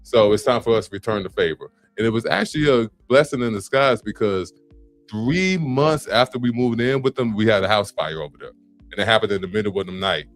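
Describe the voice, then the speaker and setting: A man in his late thirties, a podcast guest, telling his story in a relaxed, easygoing way. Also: fairly low pitch, brisk, dry recording, soft background music. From South Side. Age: 30s. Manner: relaxed storytelling with acted-out quotes. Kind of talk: casual interview chat.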